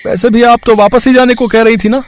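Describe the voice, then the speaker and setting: A man's voice: very high voice, level tone, fairly quick. A man playing a humble, helpful middle-aged auto-rickshaw driver in a cartoon story, speaking deferentially and reassuringly with some hesitation.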